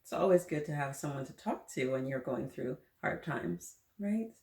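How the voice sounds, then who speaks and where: A woman reading a picture book aloud to young children, gently encouraging them and pausing to ask them questions. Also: sits low, lively intonation, roomy sound. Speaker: children's story-time host reading aloud.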